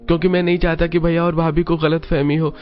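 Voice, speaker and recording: A man voicing a gentle, dutiful young Indian son, earnest and respectful, in a cartoon family drama. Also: a little high, level tone, fast, highs cut off, faint music behind, close to an everyday voice.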